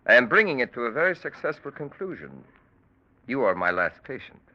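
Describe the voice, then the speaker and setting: An actor playing a cold, calculating crime-drama surgeon in 1940s radio style, speaking formally and precisely with unruffled menace. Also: male voice, medium pitch, sing-song, a little fast. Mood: cold, menacing. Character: cold, calculating male surgeon extorting his criminal patients. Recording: muffled vintage radio drama recording.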